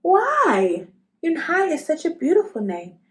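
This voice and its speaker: A woman's voice: extremely high pitch, wildly swooping pitch, a little slow, voice pushed up, full character voice. A woman reading as a caring, proud mother in a storybook, firm and encouraging.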